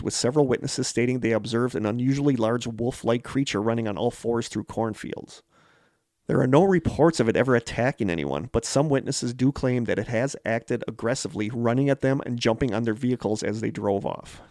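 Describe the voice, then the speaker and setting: Male American podcast co-host, mid-pitched, conversational, reads notes between casual asides, dry recording. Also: brisk, darkish tone, no room sound. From Wisconsin, United States. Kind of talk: casual chat mixed with reading prepared notes.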